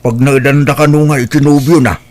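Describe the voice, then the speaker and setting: A man's voice: mid-range voice, steady tone, brisk, darkish tone, lightly acted. A man playing a frank, dismayed older relative in a Filipino radio drama, with a disapproving manner.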